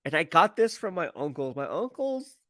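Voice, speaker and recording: A man's voice: on the high side, very animated, normal speed, a bit bright, no echo, studio quality. A man chats casually on a sports live stream, rambling with slangy emphasis and often repeating himself as he answers viewers. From San Francisco Bay Area, United States. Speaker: sports streamer and football commentator.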